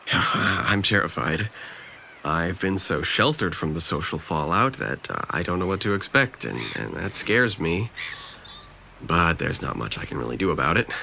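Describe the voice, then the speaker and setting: A young man playing a depressed, self-blaming young man in a drama, speaking haltingly and subdued. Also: sits low, expressive, vocal fry, pushed and bright, a little hiss or hum, full character voice. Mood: anxious, resigned. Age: young adult. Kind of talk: naturalistic dramatic dialogue.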